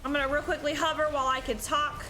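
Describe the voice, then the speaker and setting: A woman doing live play-by-play commentary on an esports match, sounding stuffy from allergies and getting excited in close fights. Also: high voice, fast, a little hiss or hum. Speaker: esports caster.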